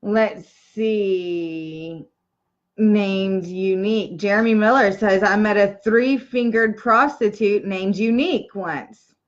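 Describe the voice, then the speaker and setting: A woman's voice: mid-range voice, slow pace. A woman in her thirties chatting casually on a livestream, easygoing, with short replies and "y'all". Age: 30s. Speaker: livestream co-host. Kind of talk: casual chat reading live comments. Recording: lo-fi livestream audio.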